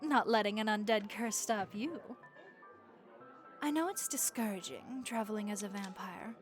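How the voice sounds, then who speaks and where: A woman's voice: mid-range voice, normal speed, faint music behind, natural voice. A woman playing a friendly, worldly vampire innkeeper in a comforting audio roleplay, chatty and encouraging with a wry, folksy way of talking.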